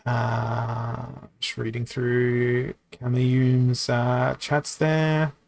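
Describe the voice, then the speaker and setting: A man's voice: medium pitch, flat delivery, very slow, vocal fry. A man live-streaming a card game, chatting casually with his viewers, rambling with frequent ums and restarts. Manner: reads and answers viewer chat.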